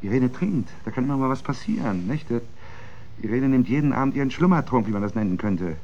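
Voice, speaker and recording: An actor playing a scheming middle-aged married man in a German radio drama, talking in a smooth, evasive, persuasive way. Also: medium pitch, animated, brisk, a little mellow, lo-fi sound, lightly acted. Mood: conspiratorial. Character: scheming, evasive married man plotting against his wife.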